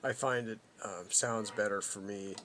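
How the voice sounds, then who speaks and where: A man giving a casual, unscripted product walkthrough as a hobbyist, haltingly thinking aloud as he goes. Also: fairly low pitch, steady tone, fairly bright tone, a little hiss or hum. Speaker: hobbyist presenter demonstrating a vintage CB radio.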